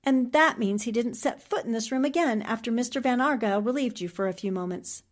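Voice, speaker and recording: An actress playing a shrewd, confident newspaperwoman sleuth in a 1940s-style radio mystery, deliberate and probing. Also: on the high side, natural voice. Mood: thoughtful.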